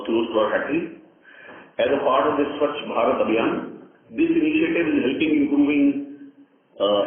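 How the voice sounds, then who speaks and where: A man with an Indian accent gives a formal, measured company results presentation as an executive, pausing with hesitations, on a conference call. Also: medium pitch. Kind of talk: prepared earnings call presentation. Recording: echoey, tinny conference phone line with noise.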